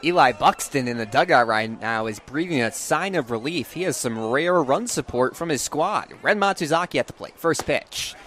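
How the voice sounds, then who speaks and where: A man's voice: medium pitch, expressive, moderate pace, few pauses, fairly bright tone. A young man calling a game live as a sports play-by-play announcer. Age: young adult.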